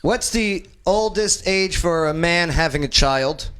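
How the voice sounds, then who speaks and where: Male talk host, 40, animated and joking, slightly high pitch with big swings. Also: measured pace, smooth. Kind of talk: casual panel chat.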